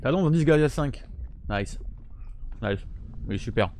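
A man's voice: medium pitch, animated, unhurried. Chatty gamer man streaming. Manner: chatty, mumbly while eating, bursts of loud frustration. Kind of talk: casual gameplay chat with viewers. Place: home streaming room, indoors. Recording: game sound noise behind voice. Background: game gunfire and sound effects.

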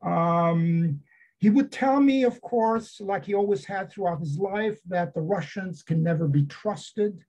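Older guy talking from home on a lo-fi call, mellow husky voice, lots of uhs. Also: high-pitched, a little slow. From Romania. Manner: hesitant, many fillers. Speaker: seminar speaker, author. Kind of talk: academic talk. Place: home study with bookshelves. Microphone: video call. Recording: dull lo-fi video call audio.

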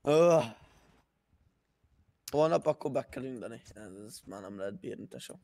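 A man's voice: medium pitch, animated, creaky, a little mellow, dry recording. A man chatting casually in a roleplay game, with crude joking. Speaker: fellow roleplay gamer.

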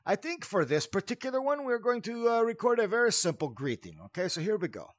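A man hosting a casual instructional walkthrough in a chatty, animated way, often checking in with the listener. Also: high voice, fairly quick, no echo. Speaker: tutorial host.

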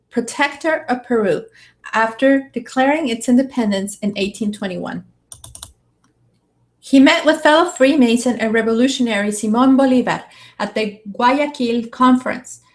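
A woman in her thirties reads a written article as narration. Her voice is on the high side, captured by a studio microphone in a small room. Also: normal speed. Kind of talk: scripted narration, read aloud. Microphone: studio condenser mic with pop filter.

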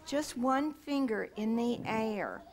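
A woman's voice: on the high side, expressive, takes it slowly. A middle-aged woman leading children in a sing-along, giving simple instructions and repeating phrases for them to echo. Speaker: children's music leader. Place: large indoor room, on stage before seated children.

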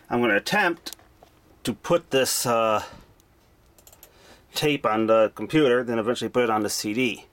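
A man casually narrating a hands-on demo in an offhand, matter-of-fact way, recorded indoors on a handheld camera. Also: mid-range voice. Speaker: hobbyist vlogger. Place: dim indoor room at home. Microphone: camera mic, held by speaker. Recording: clearly noisy handheld camera audio.

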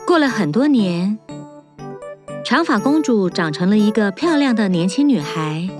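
A woman's voice: medium pitch, very animated, a little slow. A woman reading scripted fairy-tale narration for children as a storyteller. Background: music.

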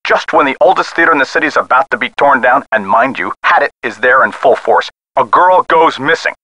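Game role: skeptical, weary American cop on the phone, mid-pitched, hard-edged, folksy and condescending. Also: male voice, expressive, a little fast, pushed and bright, low-quality recording. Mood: cynical. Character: dismissive, weary male police sergeant, skeptical and patronizing. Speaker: police missing-persons officer. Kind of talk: video game voice acting.

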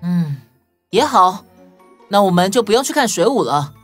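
A woman's voice: medium pitch, sing-song, moderate pace. A woman playing a confident, reassuring young detective hero in a children's audio story. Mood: rallying. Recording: music bed behind voice.

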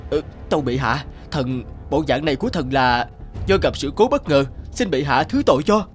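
A man playing a young mandarin in a cartoon folk tale, with a theatrical, expressive delivery. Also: on the high side, normal speed, soft background music, natural voice. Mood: fearful pleading. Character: arrogant young male mandarin, later humbled and contrite. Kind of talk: animated folk-tale dubbing.

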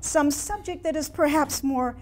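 A woman's voice: high voice, moderate pace, voice pushed up, lightly acted. A woman in her sixties voicing a polite, gushing society lady in a genteel, emphatic reading of a letter on stage. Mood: gushing, apologetic. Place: small room in a house before a seated audience.

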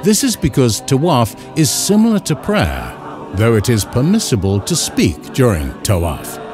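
Male narrator with lively, varied intonation. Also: medium pitch, normal speed, over music. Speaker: narrator of an educational film. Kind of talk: scripted instructional narration.

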